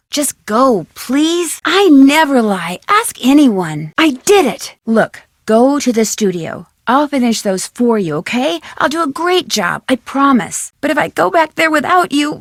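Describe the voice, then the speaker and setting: A woman playing a bright, polite young detective in a video game, earnest and inquisitive, speaking in short separate lines. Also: on the high side, no echo, studio quality, heavily acted. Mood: earnest, pleading.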